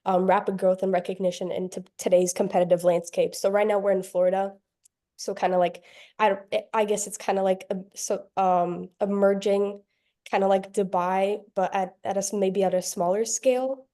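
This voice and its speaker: Teen woman audience member, tentative, flat, soft-toned question. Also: mid-range voice, moderate pace. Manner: hesitant, many "um"s and "like"s.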